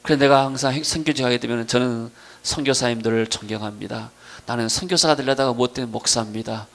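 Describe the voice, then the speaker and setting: Middle-aged male pastor, Jeolla accent, creaky gravelly voice, sermon delivery, emphatic then quieter storytelling. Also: medium pitch, quick pace. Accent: Jeolla (Gwangju). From Gwangju region, South Korea. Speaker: pastor preaching. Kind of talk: sermon with personal testimony.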